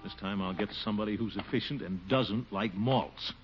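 An actor playing a pompous, easily flustered middle-aged city official in a 1940s radio comedy. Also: male voice, on the low side, moderate pace, lightly acted. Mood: grumbling. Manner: flustered, blustering, mutters to himself. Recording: muffled old radio broadcast with hiss.

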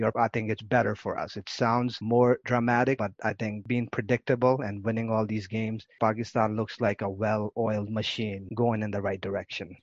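A man co-hosting a sports podcast, talking casually and analytically in long, measured explanations. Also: lowish voice, no echo. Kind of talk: casual cricket commentary and analysis. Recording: dull lo-fi dry audio.

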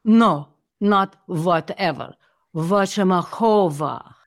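A woman voicing a vain, haughty pedigree cat in an audio play, speaking with deliberate disdain. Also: on the low side. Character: haughty, vain female purebred Russian Blue cat. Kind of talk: theatrical audio drama acting.

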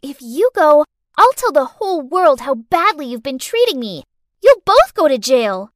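A very high, childish little girl's voice acted by a woman narrator for a dramatized story. She is bratty and scheming, pushy and whiny, with pitch that swoops about. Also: sing-song, moderate pace, no room sound, studio quality. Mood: threatening.

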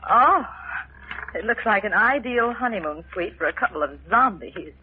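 An actress playing a poised, witty wife with a dry, teasing manner, in 1940s radio acting. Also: female voice, mid-range voice, animated, moderate pace, a little hiss or hum, natural voice. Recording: lo-fi old radio broadcast.

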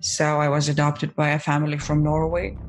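A woman playing a tabletop roleplaying game, improvising in character with hesitant, halting phrasing. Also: low-pitched, level tone, faint music behind.

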